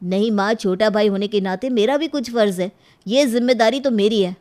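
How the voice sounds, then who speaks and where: A woman's voice: mid-range voice, normal speed, darkish tone, close to an everyday voice. A woman voicing a dutiful, stubborn brother in a family moral cartoon, speaking in an insistent, earnest way. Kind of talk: Hindi moral cartoon story acting.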